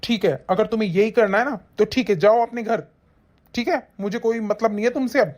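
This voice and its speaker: A woman's voice: fairly high pitch, fairly quick, darkish tone, lightly acted. A woman playing an angry, domineering young husband and devoted son, bossy and accusing in a family melodrama. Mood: stern, dismissive. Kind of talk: domestic family melodrama acting. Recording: dull, muffled lo-fi sound.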